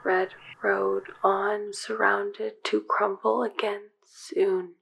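An actress playing a haunted young woman murmuring dreamlike visions in a breathy whisper, in an audio drama. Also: mid-range voice, little pitch movement, a little hiss or hum, full character voice, low-quality recording. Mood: trancelike. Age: young adult. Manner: hushed, slow, trancelike. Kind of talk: whispered inner monologue.

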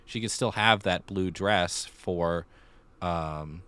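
A man's voice: low-pitched, takes it slowly, bright, forceful tone, smooth. A man co-hosting a podcast in casual conversation, building his points through repeated phrasing. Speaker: podcast co-host.

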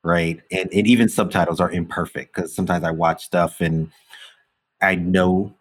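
A man hosting a podcast, chatting in a relaxed way and laughing often. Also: sits low, moderate pace, slightly rough. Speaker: podcast host. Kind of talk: casual interview chat.

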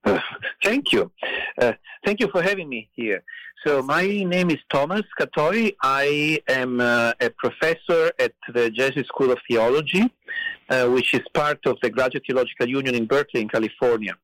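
A middle-aged man from Italy with an Italian accent, telling a story as a guest on a podcast, pausing often with fillers. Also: mid-range voice, a bit bright, no echo, low-quality recording. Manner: hesitant, many "uh" and "you know" fillers. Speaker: guest, theology professor.

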